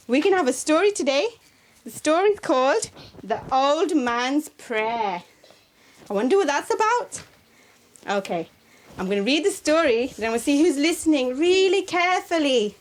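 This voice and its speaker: High-voiced woman teacher. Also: normal speed. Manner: lively, sing-song reading voice. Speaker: madrasa teacher. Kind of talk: storytelling to young children. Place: library classroom in a mosque.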